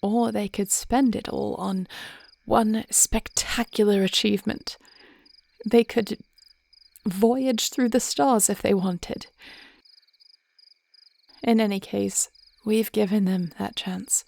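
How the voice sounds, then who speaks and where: A woman playing a thoughtful, devoted dragon queen wife in an intimate fantasy audio roleplay, speaking in measured, formal, tender phrases. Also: mid-range voice, steady tone, creaky, darkish tone. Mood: musing, hopeful.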